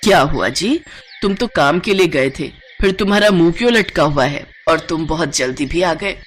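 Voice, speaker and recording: Casting: female narrator as middle-aged village mother, lowered voice, weary and admonishing, for a cartoon moral tale. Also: animated, normal speed, a little hiss or hum. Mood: worried, admonishing. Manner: admonishing, pleading.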